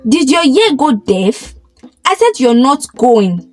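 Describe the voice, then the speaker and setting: Woman playing a scornful, snapping stepmother, high voice. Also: sing-song, slow, faint music behind, exaggerated voice. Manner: snapping, scolding. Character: cruel, scornful stepmother, shrill and bullying.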